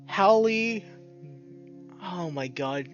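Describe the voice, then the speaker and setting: Guy doing a lets play, super animated and gushy, slow drawn out words, kinda dull audio w/ game music under. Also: high voice. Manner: effusive, exclamatory, with drawn-out words. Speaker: let's play host. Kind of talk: casual emotional gameplay commentary.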